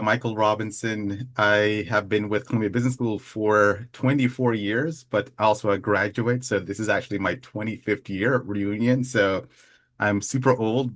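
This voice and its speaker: A man's voice: fairly low pitch, steady tone, normal speed. A man in his forties with a Jamaican accent, introducing himself casually on a video call and often repeating words as he thinks. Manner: chatty, repeats words while searching for them. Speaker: admissions representative. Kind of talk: casual self-introduction on a webinar.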